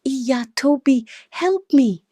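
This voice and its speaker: A woman's voice: sits high, animated, takes it slowly, warm tone, no room sound, studio quality, pitched above the performer's own voice, full character voice. A woman voicing a distraught Nigerian mother who sells corn on the street, wailing and pleading, in a dramatic storybook tale. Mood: crying, pleading.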